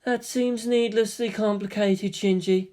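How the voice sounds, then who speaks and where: Man voicing a haughty, deliberate alien seer. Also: mid-range voice, steady tone, mellow, pitched above the performer's own voice, natural voice. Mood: disdainful.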